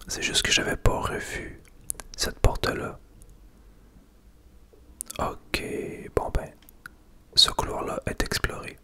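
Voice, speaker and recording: A man with a Québécois French accent whispering casual, unhurried ASMR commentary as he plays a video game. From Quebec. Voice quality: breathy, unvoiced whisper. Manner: unhurried, hushed, casual narration of play.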